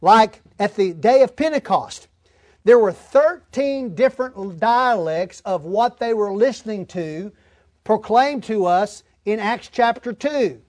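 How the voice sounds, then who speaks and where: A man's voice: high-pitched, lively intonation, slow pace, a little mellow, dry recording. A man preaching a verse-by-verse Bible lesson in an emphatic, folksy, plain-spoken way. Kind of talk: Bible-teaching sermon, verse-by-verse reading and explanation.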